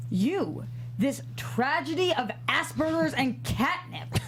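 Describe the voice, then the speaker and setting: Actress as high-voiced, brash sarcastic woman. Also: lively intonation, slow, background noise. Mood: angry, threatening. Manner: sarcastic, demanding. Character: brash, sarcastic, foul-mouthed glamorous tough woman. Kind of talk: screenplay table read, comedy acting.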